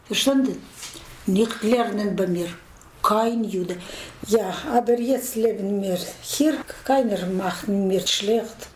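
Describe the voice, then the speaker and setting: An older woman from Ukraine with an Eastern European accent, giving a personal account in halting, broken short phrases. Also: mid-range voice, darkish tone. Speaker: documentary interviewee. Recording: echoey room with faint noise and clicks.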